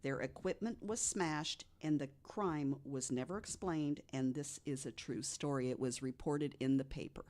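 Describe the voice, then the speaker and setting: A low-voiced woman recounts tales in a conversational podcast style. She phrases things deliberately and leans on key words for emphasis. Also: a little mellow. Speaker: podcast guest storyteller. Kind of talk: conversational storytelling of ghost stories.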